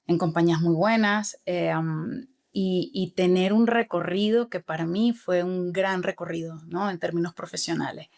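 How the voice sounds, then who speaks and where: Middle-aged female guest, lowish voice, earnest and reflective, peppers her talk with fillers. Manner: earnest, many fillers and tag questions. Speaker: podcast guest, author and former corporate manager. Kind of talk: reflective interview answers.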